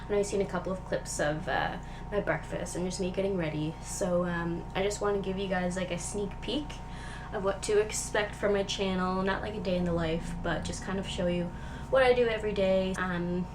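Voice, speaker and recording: A woman's voice: on the low side, a little fast. Chatty young woman with a nasal cold. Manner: rambling, filler-heavy, chatty. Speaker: vlogger. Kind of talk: casual chat. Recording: steady background noise.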